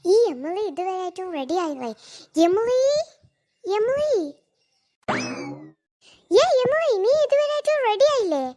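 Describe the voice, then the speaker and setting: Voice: extremely high pitch, expressive, measured pace, long pauses, dark tone, a little hiss or hum, heavily acted. A performer voicing a bossy, proud cartoon mother in exaggerated scripted dialogue. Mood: scolding, impatient. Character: bossy, proud cartoon mother planning a showy birthday party.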